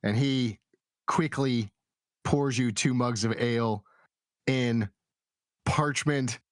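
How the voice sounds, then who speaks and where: A man's voice: medium pitch, slow pace, no echo, studio quality. A man acting as game master, casually narrating and steering a tabletop roleplaying game.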